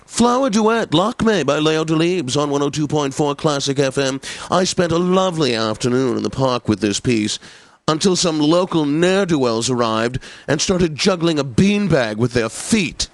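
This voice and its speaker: An actor playing a pompous, snobbish classical radio DJ for a satirical video game station, with formal, theatrical enunciation. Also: male voice, a little high, animated, normal speed, no echo. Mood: smug, genteel.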